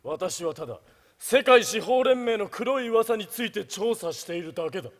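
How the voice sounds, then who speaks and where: A man's voice: sits high, expressive, normal speed. A man on stage playing a stern, haughty young prosecutor with a formal, pompous way of speaking. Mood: stern, grave. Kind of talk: theatrical stage play acting. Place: theater stage, live performance.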